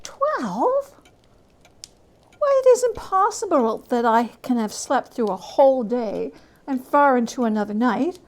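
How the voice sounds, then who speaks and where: A woman's voice: high-pitched, sing-song, unhurried, a little mellow. A woman voicing a bewildered old male miser in a storybook reading, exclaiming in emphatic disbelief. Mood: astonished disbelief.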